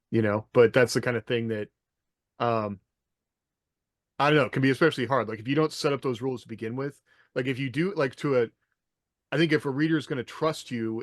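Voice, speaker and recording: A man's voice: medium pitch, no echo, clean studio sound. A middle-aged man in a casual podcast discussion, thinking aloud in a loosely structured way. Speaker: podcast co-host. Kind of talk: casual panel discussion of writing. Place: indoor room on a video call.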